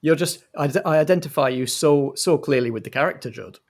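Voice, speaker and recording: A man narrating a tabletop game as game master, with theatrical, deliberate phrasing. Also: a little high. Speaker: game master, playing a director.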